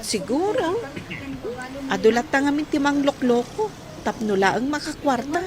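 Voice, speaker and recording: A woman's voice: sits high, very animated, unhurried. A woman playing an earnest, respectful young village student in an Ilocano radio drama. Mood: teasing, curious.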